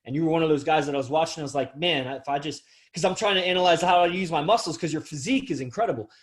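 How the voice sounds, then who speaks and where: A man with a somewhat high voice hosts a podcast interview. He talks in an effusive, admiring way and rambles from one thought to the next. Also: racing. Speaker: podcast host. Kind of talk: casual interview talk.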